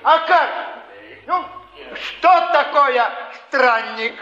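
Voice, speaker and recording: High-pitched, preachy flophouse keeper. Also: male voice, sharp tone, heavily acted. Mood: sanctimonious. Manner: drawn-out, sanctimonious, needling delivery. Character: smug, preachy, bullying male flophouse keeper, older. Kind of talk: theatrical stage acting. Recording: dull archival stage recording, long echoing hall.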